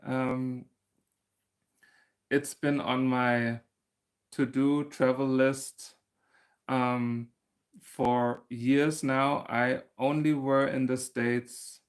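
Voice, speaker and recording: Chatty man hosting a livestream. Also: mid-range voice. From Germany. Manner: chatty, laughs often, many fillers and restarts. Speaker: crochet channel host. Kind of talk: casual livestream chat answering viewer comments.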